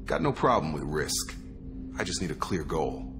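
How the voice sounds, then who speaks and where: A loyal soldier crewman in a space game. His voice is deep and male, his phrasing clipped and no-nonsense, and music sits prominently behind him. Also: big pitch swings, moderate pace. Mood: dutiful, frank. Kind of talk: video game dialogue acting. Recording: prominent background music under the voice.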